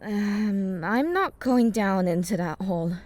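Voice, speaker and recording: A woman's voice: medium pitch, lively intonation, takes it slowly, darkish tone, dry recording. A woman playing a reluctant, anxious young woman in an audio drama.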